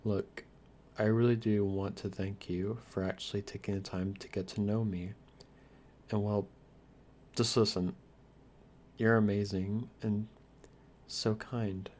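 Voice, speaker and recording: A man playing a shy, lonely, youthful vampire lord in an ASMR roleplay, stammering earnestly with hesitant, softly formal manners. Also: lowish voice, fairly even intonation, a little slow, pauses often. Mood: grateful, flustered. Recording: clear background hiss and noise.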